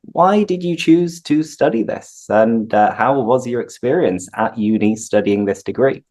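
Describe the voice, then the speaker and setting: A young man from Yorkshire with a mild, neutral Yorkshire accent, hosting a relaxed interview as an English teacher and using many "uh" fillers. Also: mid-range voice, unhurried. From Yorkshire, England. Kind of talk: casual interview.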